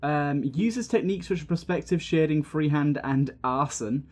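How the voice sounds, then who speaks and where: Higher-pitched man doing casual gameplay commentary. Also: moderate pace. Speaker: gaming video host.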